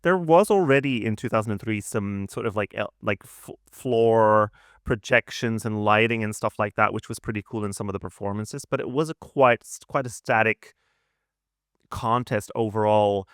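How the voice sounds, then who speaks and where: Smooth-voiced male podcast co-host. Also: on the low side, normal speed, a bit bright, no room sound, clean studio sound. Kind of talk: casual opinionated chat.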